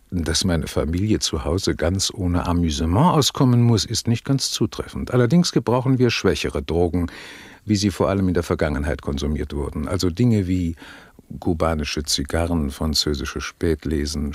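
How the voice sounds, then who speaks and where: An actor playing a pompous, self-satisfied drug tycoon being interviewed in a satirical radio play, speaking in a stilted, haughty, formal way. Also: male voice, sits low, normal speed, breathy edge, dry recording. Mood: self-righteous.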